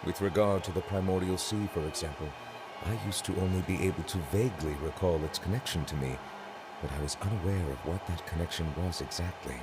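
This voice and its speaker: A man's voice: sits low, a little fast, noisy background, natural voice. A man voicing an ancient, solemn dragon in human form who serves as chief justice in a video game, speaking formally and reflectively. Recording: game dialogue with music behind.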